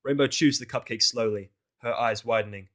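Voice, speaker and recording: A man's voice: medium pitch, a little fast, fairly bright tone. Man, story narrator, informal dramatic reading, exasperated, sweary, conversational. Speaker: fan fiction narrator.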